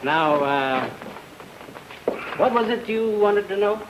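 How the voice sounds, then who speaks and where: An actor playing a hesitant, obliging small-town investigator who repeats himself in an old radio drama. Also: male voice, sits high, lively intonation, takes it slowly. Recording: noisy old radio recording.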